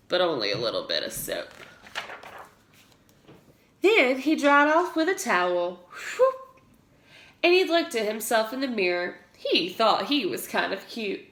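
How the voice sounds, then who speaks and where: Female preschool teacher for storybook read-aloud: slightly high voice, unhurried, emphatic sound words, roomy recording. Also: fairly bright tone. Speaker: pre-K teacher reading aloud.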